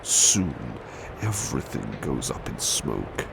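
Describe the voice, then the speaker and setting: A man playing a formal, competitive butler who softly reads poetry in an ASMR bedtime roleplay. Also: very deep voice, very animated, slow pace, gravelly, noisy background, heavily acted. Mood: hushed, dramatic. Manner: formal, slightly prickly, dramatic verse delivery. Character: male butler servant, formal, competitive, touchy. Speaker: servant reading poetry at bedtime. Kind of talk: soft-spoken ASMR roleplay, poetry reading.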